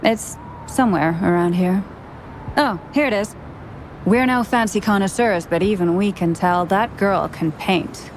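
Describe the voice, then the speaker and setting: A woman's voice: medium pitch, measured pace, slight background noise, natural voice. A woman voicing a blunt, streetwise exile, talking in a brusque, offhand way. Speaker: game character. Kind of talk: game dialogue voice acting.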